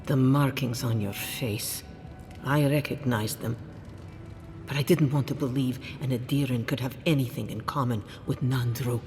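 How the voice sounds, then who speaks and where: A stern, proud fantasy game councillor, voiced by a man, mid-pitched and imperious. He challenges formally in a long hall reverb, with faint music behind. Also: fairly even intonation, moderate pace, a little mellow, lightly acted. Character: proud, stern, suspicious council leader guarding a sacred hold. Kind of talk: dramatic fantasy game acting.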